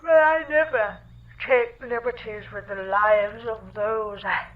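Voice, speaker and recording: Dry, exasperated spacewoman, slightly high voice. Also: steady tone, slow, narrow, tinny audio. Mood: melodramatic reading. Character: dry, exasperated female spaceship crew member. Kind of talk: audio drama comedy acting.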